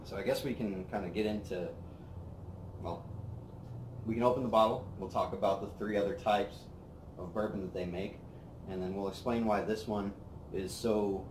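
Young guy with a lowish voice rambling about bourbon outside, echoey, faint background hum. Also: normal speed, long pauses. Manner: relaxed, rambling, lots of fillers. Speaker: whiskey review host. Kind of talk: casual tasting chat. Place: outdoor patio by a brick wall. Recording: roomy outdoor sound with faint ambience.

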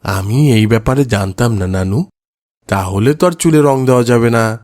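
A man's voice: mid-range voice, normal speed, a little mellow, no room sound, clean studio sound, natural voice. A man voicing a kindly, good-humoured old grandfather in a cartoon moral story. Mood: chatty.

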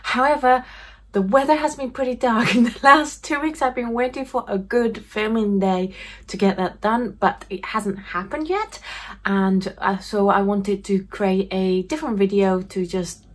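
A Japanese-born woman, chatting to camera in an animated way, often laughing, in her home craft room. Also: medium pitch, a little slow. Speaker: craft YouTuber. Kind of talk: casual talk to camera. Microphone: camera facing her at desk distance.

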